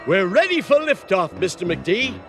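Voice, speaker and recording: A cheerful, dim-witted cartoon pilot duck chats in a folksy way. The voice is high and animated, goofy and easygoing, over game music. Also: male voice, normal speed. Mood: upbeat. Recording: background music under the voice.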